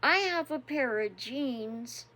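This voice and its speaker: A woman in her sixties hosting a devotional channel, chatting casually and reflectively, unhurried and chuckling often. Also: high-pitched, lively intonation, bright, forceful tone. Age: 60s. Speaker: devotional host.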